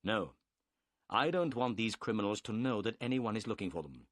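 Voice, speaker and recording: A man voicing a calm, clever male detective who speaks politely, briskly and with assurance. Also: fairly low pitch, dry recording, studio quality. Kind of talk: audiobook character voice.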